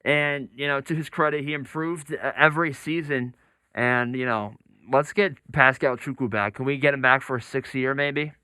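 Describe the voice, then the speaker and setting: A man's voice: mid-range voice, fairly even intonation, normal speed, pushed and bright, clean tone, no room sound. A man discussing basketball in a conversational sports radio talk show style.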